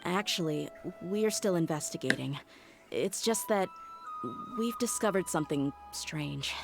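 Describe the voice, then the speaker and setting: A woman's voice: on the low side, lively intonation, measured pace, vocal fry, music in the background. A woman playing a loyal, frank mercenary in a video game, with a firm and resolute manner. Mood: hesitant. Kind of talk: video game character acting.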